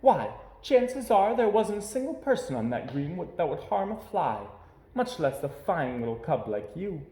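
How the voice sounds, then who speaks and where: Casting: gentle storybook mama bear played by a man, lifted voice, patiently reassuring, in an echoey room. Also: sits high, pitched above the performer's own voice. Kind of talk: children's picture book read-aloud.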